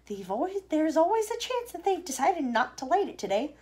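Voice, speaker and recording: A woman voicing a blithe, eccentric male factory owner in a storybook reading, calm and matter-of-fact. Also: high-pitched, a little fast, heavy background noise, voice pushed up. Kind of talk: storybook character voice in a read-aloud.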